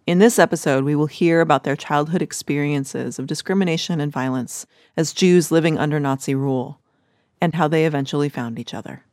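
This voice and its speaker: A woman hosting a documentary podcast, reading a scripted introduction in a polished, even manner. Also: low voice, fairly quick, dry recording, clean studio sound. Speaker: podcast host.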